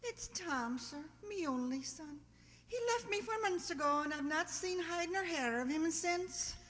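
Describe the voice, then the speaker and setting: A woman's voice: extremely high pitch, animated, a little slow, fairly bright tone, exaggerated voice. A woman playing an anxious, pleading older working-class village mother, earnest and rustic, in a radio drama. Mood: distressed. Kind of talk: amateur radio drama acting. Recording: long hall-like reverb.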